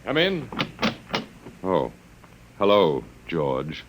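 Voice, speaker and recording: A man's voice: low voice, huge pitch swings, extremely slow, faint music behind, full character voice, rough recording. A man playing a bewildered professor in a 1950s radio drama, stammering and flustered but wry. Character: bewildered male professor, last man on Earth.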